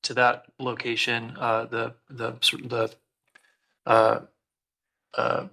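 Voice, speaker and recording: A man's voice: medium pitch, completely flat delivery, slow, creaky, sharp tone. A man on a city commission giving hesitant comments at a public meeting, full of fillers and restarts.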